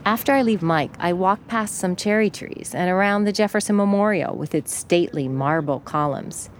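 A woman radio reporter narrating a feature and questioning people outdoors in a measured, probing way. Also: on the low side, a little hiss or hum. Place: outdoors by a flooded park, traffic nearby.

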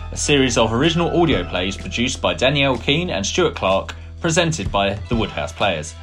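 A man's voice: medium pitch, a bit bright. A man reading scripted closing credits as an announcer. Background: guitar music.

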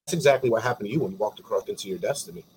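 A man's voice: on the low side, racing, poor audio. Male host, faint background hiss. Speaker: interview host. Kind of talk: casual interview.

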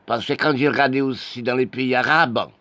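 Halting, impassioned man with restarts. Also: medium pitch, fairly even intonation, bright, forceful tone, no echo. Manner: halting, repetitive, many restarts and broken phrases. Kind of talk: impassioned spoken monologue.

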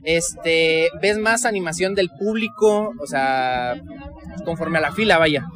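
A man's voice: a little high, takes it slowly. A man doing casual, polite street interviews as a podcast host, phrasing his questions a little hesitantly. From Ciudad Juárez, northern Mexico. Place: outdoors in a concert queue. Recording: outdoor recording amid loud crowd chatter.

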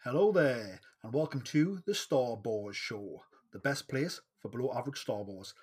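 A man's voice: medium pitch, animated, moderate pace, darkish tone, breathy edge. A man with a Geordie accent from north-east England, hosting a podcast in a casual, chatty interview style. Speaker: podcast host.

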